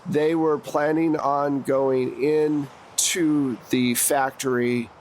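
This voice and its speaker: A man's voice: medium pitch, steady tone, slow pace, darkish tone, a little hiss or hum. A man narrating a tabletop roleplaying game as game master, in a conversational, plain-spoken way. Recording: music behind the voice.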